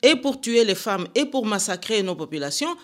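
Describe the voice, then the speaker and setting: A woman's voice: medium pitch, very animated, normal speed, a bit bright. An older woman, a guest answering radio interview questions in a firm, indignant, emphatic way from a studio. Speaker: political guest.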